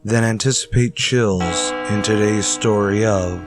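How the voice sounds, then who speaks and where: An actor playing a solemn, ominous horror anthology announcer, delivering grave, deliberate retro radio narration. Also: male voice, fairly low pitch, steady tone, music in the background, close to an everyday voice. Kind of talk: old-time radio scripted narration.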